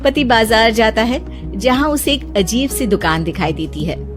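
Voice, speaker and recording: A woman's voice: on the high side, lively intonation, a little slow. A woman narrating a scripted story as its storyteller. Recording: music behind the voice. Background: music.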